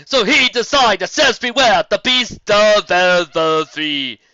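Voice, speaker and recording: A man voicing a mysterious female zebra bard who sings her warnings in slow, drawn-out rhyme, on a dull lo-fi recording. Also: sits high, animated, pushed and bright, no echo, pitched above the performer's own voice, full character voice. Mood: ominous singing. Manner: sung, rhyming and slow.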